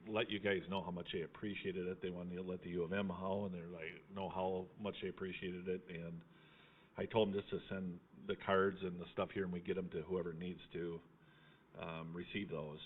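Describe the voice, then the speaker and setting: Folksy male livestream host, lower-pitched, teasing and chatty, heard through thin phone-like audio. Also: level tone, brisk, a little hiss or hum. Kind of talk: casual live chat.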